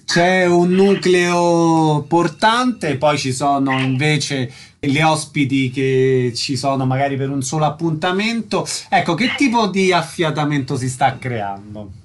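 A man's voice: a little high, unhurried, hardly any pauses. A man working as an interviewer, putting questions in a conversational way.

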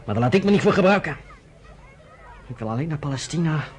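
A man's voice: a little high, expressive, fast, a little hiss or hum, natural voice. An actor playing a bitter, defiant young man in a radio drama. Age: young adult.

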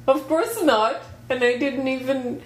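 Woman, unhurried storyteller, slightly high voice, roomy echo. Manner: slow, storytelling, laughs often. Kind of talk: casual chat. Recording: roomy echo, faint background noise.

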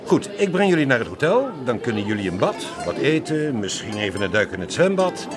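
A man's voice: sing-song, brisk, a crowd in the background, natural voice. An actor playing a genial, worldly older man, chuckling and avuncular, in a radio drama. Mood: jovial, welcoming. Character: genial, wealthy older widowed expatriate man, worldly and talkative. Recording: noisy outdoor setting with vehicle rumble.

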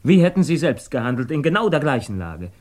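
A man's voice: mid-range voice, sing-song, a little fast, darkish tone, natural voice. An actor playing a troubled, defensive accused man, intense and formal, in a 1950s radio drama. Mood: challenging, searching.

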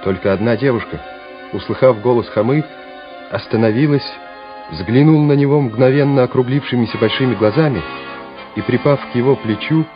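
A male narrator tells a war story for a radio play in a dramatic, scripted delivery. His voice sits at a middle pitch over clear music, and the old recording sounds somewhat muffled. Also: fairly quick.

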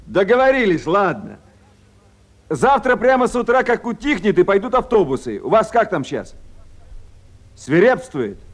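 An actor in a 1970s radio drama playing a busy, caring Soviet town official with a conversational, paternal manner. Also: male voice, high-pitched.

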